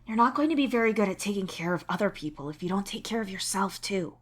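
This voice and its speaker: A woman voicing an irritable but caring young man who scolds in an exasperated, hushed, sweary way. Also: medium pitch, lively intonation, quick pace, voice pushed up, close to an everyday voice. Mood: scolding concern.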